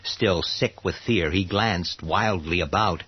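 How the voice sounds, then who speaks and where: Husky guy reading scary story, theatrical pauses, dry muffled sound. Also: low voice, no echo. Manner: theatrical, deliberate dramatic pauses. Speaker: narrator. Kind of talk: dramatic scripted horror narration.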